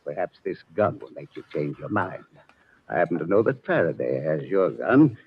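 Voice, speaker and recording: A man's voice: low-pitched, very animated, normal speed, husky, heavily acted. An actor playing a smug, menacing bank president with murder on his hands, in a 1940s radio drama. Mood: smug menace. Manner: gloating, threatening. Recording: muffled old radio drama recording.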